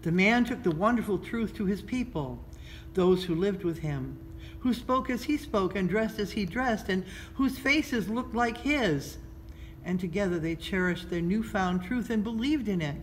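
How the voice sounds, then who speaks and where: A woman reads a children's story aloud in a measured, expressive storytelling style, pausing between phrases. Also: medium pitch, rough recording. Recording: noticeable background noise.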